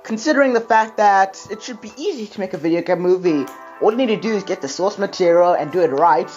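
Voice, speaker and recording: A woman's voice: medium pitch, lively intonation, fast, a little mellow, distant mic, lo-fi sound. Female video host, rambling and exclamatory, recorded off-mic in an echoey room with music underneath. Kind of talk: casual opinionated video commentary.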